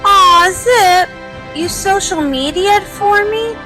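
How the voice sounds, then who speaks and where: A woman voicing an earnest, bubbly young pony princess in a cartoon fan dub, girlish and expressive. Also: very high-pitched, slow, faint music behind, heavily acted. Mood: puzzled, chatty.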